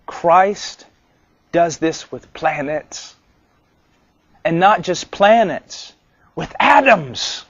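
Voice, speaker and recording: Male preacher, somewhat high voice, earnest deliberate sermon delivery, rhetorical questions, dull lo-fi sound. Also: expressive, a bit bright, dry recording.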